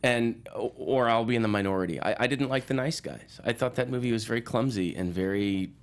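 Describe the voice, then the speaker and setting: A man speaking as a guest film critic in casual movie talk, halting and repeating phrases as he thinks aloud. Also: medium pitch.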